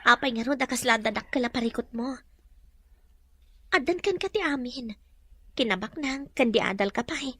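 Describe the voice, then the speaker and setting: A woman acts as a modest young mother in an audio drama. Her voice is high and bright, with a wary, hesitant delivery. It is recorded dry. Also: animated, normal speed, long pauses, breathy edge, no room sound. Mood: concerned. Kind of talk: Ilocano radio-style drama acting.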